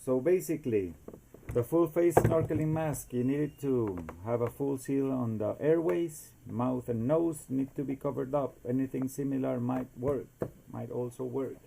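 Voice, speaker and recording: A man's voice: medium pitch, normal speed, creaky, background noise. A man from Costa Rica with a Costa Rican Spanish accent gives an informal, homemade explainer in non-native English, often searching for words and slipping in Spanish fillers. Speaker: amateur inventor demonstrating a homemade device.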